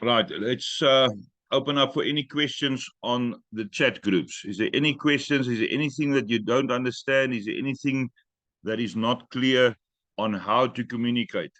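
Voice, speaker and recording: A man hosting an online training call, giving brisk instructions with joking asides. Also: medium pitch, fairly bright tone, no echo, muffled recording.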